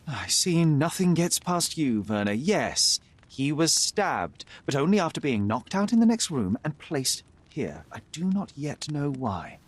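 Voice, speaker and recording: A young sharp detective, formal and precise, lively pitch. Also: male voice, a little slow, few pauses. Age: young adult.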